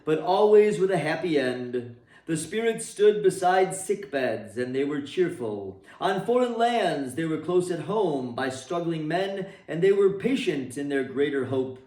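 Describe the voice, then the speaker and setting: Man reading a classic tale expressively. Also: on the high side. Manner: expressive, swooping phrasing. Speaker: reader for a library. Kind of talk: dramatic storybook reading. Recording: roomy, distant mic.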